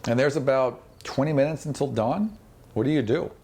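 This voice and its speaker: A man acting as game master, improvising narration in a casual, halting, colloquial way. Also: medium pitch, mellow, slight background noise. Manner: halting, colloquial descriptions with spoken sound effects.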